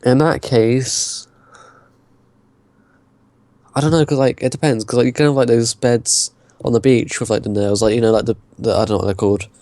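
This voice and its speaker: A man's voice: mid-range voice, steady tone, moderate pace, pauses often, warm tone, no echo. A young man, co-hosting a casual podcast, hesitant and full of "like" and "I don't know". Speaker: podcast co-host. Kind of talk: casual chat.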